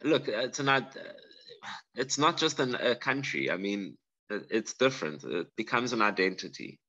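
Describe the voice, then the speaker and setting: A man's voice: on the low side, animated, moderate pace, sharp tone, lo-fi sound. A man with a South African accent, a guest explaining things earnestly in a casual interview, often restarting his sentences. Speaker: guest bladesmith.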